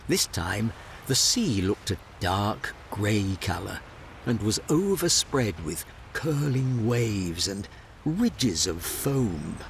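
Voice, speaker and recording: A man narrating a fairy tale in a measured, dramatic storybook style. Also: lowish voice, big pitch swings, creaky, slight background noise.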